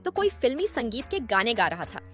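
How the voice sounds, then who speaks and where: A woman's voice: on the high side, sing-song, brisk, fairly bright tone, soft background music. A woman reading a scripted horror story as its narrator.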